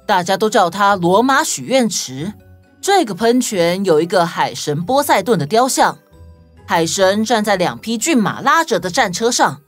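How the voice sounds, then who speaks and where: A woman voicing a serious, clever detective who explains things confidently in a children's audio story. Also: medium pitch.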